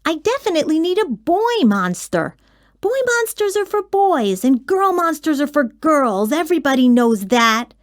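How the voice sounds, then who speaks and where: A woman's voice: high-pitched, sing-song, normal speed, pitched above the performer's own voice. A woman voicing a young boy in a storybook, nervous but picky and cheeky. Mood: insistent.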